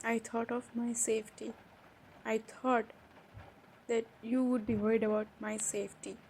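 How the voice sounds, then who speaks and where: Over clearly audible background ambience comes a high, soft-toned young woman, acted out by a woman. She is hurt and guarded, in an intimate audio roleplay drama. Her delivery is emotional and halting, with a mellow edge. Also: a little hiss or hum, low-quality recording. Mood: bitter, hurt. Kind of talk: improvised romantic roleplay drama.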